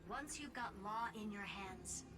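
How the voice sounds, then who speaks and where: A commanding, brilliant scientist played by a woman in a video game, with a mid-pitched voice. She is assured, deliberate and imperious, with loud music underneath. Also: lively intonation, lightly acted. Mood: brisk, commanding. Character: cool, commanding, brilliant female scientist briefing an ally. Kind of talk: scripted video game voice acting.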